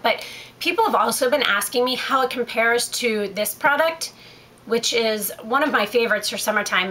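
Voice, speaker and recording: A woman talks casually through a makeup review, sounding stuffy from a head cold. Her voice sits a little high and comes across very bright. She rambles, corrects herself and fills gaps with "um". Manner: chatty, rambling, self-correcting with many fillers. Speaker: beauty vlogger.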